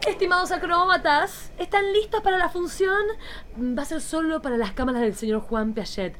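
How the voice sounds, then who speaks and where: A woman's voice: very high-pitched, moderate pace, a bit bright, slight background noise, pitched above the performer's own voice. A woman playing a nervous, flirtatious female diplomat in a campy radio play, with gushing, giggly exclamations. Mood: excited, flirtatious.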